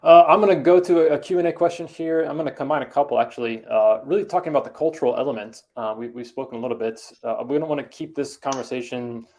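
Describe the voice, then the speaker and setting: A man in his thirties moderates a panel through a headset on a video call. He reads out audience questions in a loose, halting way, full of fillers. His pitch sits in an ordinary middle range. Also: a little mellow.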